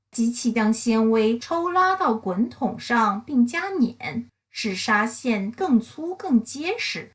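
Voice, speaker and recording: Female read-aloud narrator for children's science books: higher-pitched, lively intonation, careful enunciation. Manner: slow, careful enunciation, bilingual English and Mandarin. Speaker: picture book reader and narrator.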